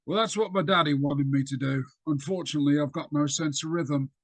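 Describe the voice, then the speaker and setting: A man voicing a weary, sarcastic young female agent with quick retorts. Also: fairly high pitch, no echo.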